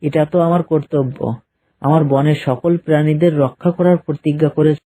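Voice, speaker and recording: A man's voice: on the high side, fairly even intonation, brisk, mellow, natural voice. A man voicing a stern, protective lion king in a children's cartoon. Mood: dutiful.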